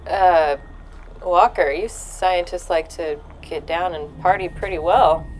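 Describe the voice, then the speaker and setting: A woman's voice: on the low side, takes it slowly, a little hiss or hum, low-quality recording. A woman chatting casually and in slang as a tabletop game player, wry and quippy, with music behind her.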